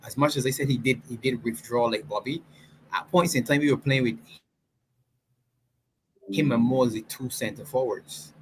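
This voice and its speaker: A man hosting a casual football reaction chat, explaining tactics at length in an animated way. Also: mid-range voice, normal speed, pauses often, slight background noise, rough recording. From Barbados. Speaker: football fan show host.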